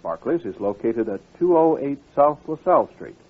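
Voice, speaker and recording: Husky male radio announcer, tinny sound. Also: mid-range voice, sing-song, moderate pace. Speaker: commercial announcer. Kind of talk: scripted radio advertisement. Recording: tinny, narrow old radio recording.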